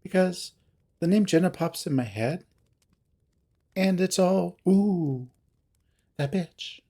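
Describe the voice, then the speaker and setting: A man voicing a young female cat character in a story, forgetful, sheepish and evasive, with a halting, babyish way of talking. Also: sits high, dark tone, voice pushed up, heavily acted. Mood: hesitant, sheepish. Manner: halting, filler-heavy, babyish.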